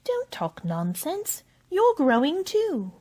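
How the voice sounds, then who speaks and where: A woman playing a curious, blunt, impatient young girl in a radio play, forthright and expressive. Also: sits high, slow, exaggerated voice. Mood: defiant.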